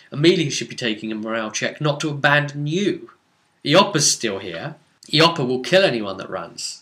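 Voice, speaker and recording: Bright, forceful man recounting a game. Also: mid-range voice. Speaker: tabletop game master recounting a session. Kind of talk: casual recap of a tabletop role-playing game.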